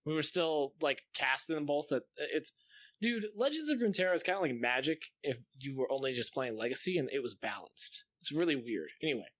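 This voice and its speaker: A man's voice: fairly high pitch, expressive, brisk, fairly bright tone, no echo. A man talking casually and in slangy detail as a gaming podcast host.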